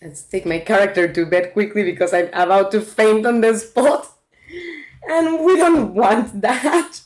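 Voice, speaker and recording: A woman's voice: a little high, a little mellow. A woman from Mexico, chatting casually with her viewers while playing a farming game. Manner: chatty, talks to her viewers, reacts aloud. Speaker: streamer. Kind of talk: casual gameplay commentary.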